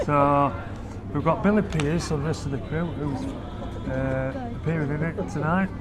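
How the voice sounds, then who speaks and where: A man's voice: a little high, normal speed. A man hosting a family vlog, giving a loose, chatty walking tour inside a theatre while filming on a handheld camera. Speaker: family vlogger host. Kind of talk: casual walking tour narration. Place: inside a theatre, foyer and private box.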